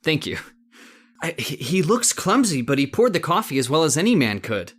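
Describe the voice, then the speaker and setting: Guy acting a young scientist, kinda high voice, animated, halting and polite, old-time radio style. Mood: amazed. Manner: halting, breathlessly amazed, courteous. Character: astonished, courteous young male scientist guest.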